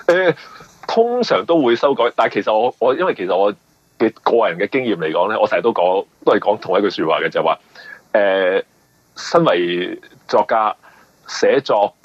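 Low, husky Hong Kong man, dull audio. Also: lively intonation, moderate pace, no echo. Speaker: mystery novelist, interview guest. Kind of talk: casual interview answers. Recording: dull lo-fi radio interview audio.

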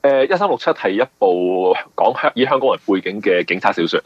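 A low-voiced man with a Hong Kong accent, speaking as an interview guest. His voice is husky and rough, and he explains things conversationally, stopping and repeating words as he goes. The sound is dull and lo-fi. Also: expressive, a little fast, dry recording. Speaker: mystery novelist being interviewed.

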